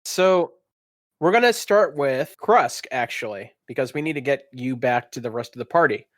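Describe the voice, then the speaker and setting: A man's voice: medium pitch, animated, normal speed, a bit bright, no echo, clean studio sound. A man running a tabletop role-playing game, narrating in a theatrical, descriptive storytelling manner. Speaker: game master.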